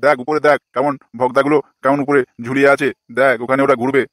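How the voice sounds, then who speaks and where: A man's voice: medium pitch, flat delivery, fast talker, a bit bright, no echo, clean studio sound, natural voice. A man voicing an exasperated, foul-mouthed older village father in a comic cartoon, scolding crudely. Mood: impatient explaining.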